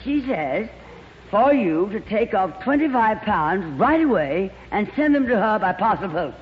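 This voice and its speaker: A gushing glamorous actress. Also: female voice, mid-range voice, big pitch swings, a little slow. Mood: gossipy. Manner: theatrical, drawls endearments like darling. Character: gushing glamorous worldly actress, affected and gossipy. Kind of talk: 1940s radio comedy acting. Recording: old radio broadcast, reverberant, dull, faint hiss.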